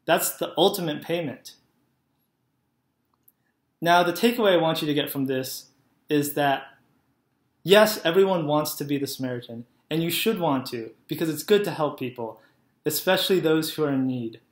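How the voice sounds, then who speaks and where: A young man with a slightly high voice gives a Sunday school lesson to children. He explains the story in plain, friendly words and keeps asking the kids whether they follow. He records on a laptop webcam in a living room with a noticeable echo. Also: moderate pace, long pauses. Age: young adult. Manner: friendly, simple storytelling, asks "right?" to kids. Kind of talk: children's sermon. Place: living room at home, indoors. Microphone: laptop webcam, head and shoulders. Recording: echoey room.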